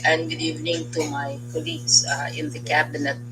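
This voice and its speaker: Even-toned Filipino education official briefing. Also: mid-range voice, fairly quick, music in the background, low-quality recording. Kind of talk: semi-formal spoken briefing.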